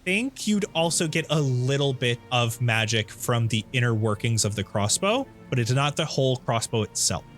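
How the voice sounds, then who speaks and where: A man's voice: medium pitch, lively intonation, moderate pace, hardly any pauses, fairly bright tone, soft background music. A man narrating a tabletop roleplaying game as the game master, describing what characters do and sense.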